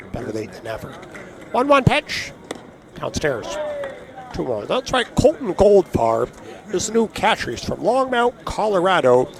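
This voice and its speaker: Raspy, hoarse male play-by-play caller, chatty between pitches, fairly high voice, crowd behind. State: hoarse from allergies. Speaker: play-by-play announcer. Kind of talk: baseball play-by-play commentary.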